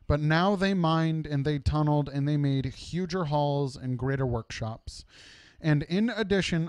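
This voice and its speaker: Man playing a proud male dwarf leader, pompous, grandiose, storybook character, slightly high voice, dry sound. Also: a little mellow, no echo, close to an everyday voice.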